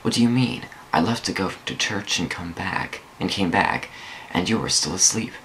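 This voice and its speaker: Man putting on a playful young college girlfriend, lifted pitch. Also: moderate pace, a bit bright, a little hiss or hum, rough recording. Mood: teasing. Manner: teasing, giggly delivery.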